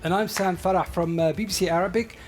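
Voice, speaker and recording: A woman's voice: lowish voice, fairly even intonation, fast, a little mellow, faint music behind. A woman co-hosting a radio discussion and putting questions to people. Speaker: radio journalist and co-host.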